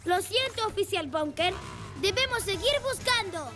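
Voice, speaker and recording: A woman voicing an eager, polite little boy rescue motorcycle in an animated children's dub. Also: very high voice, moderate pace. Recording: cartoon music behind.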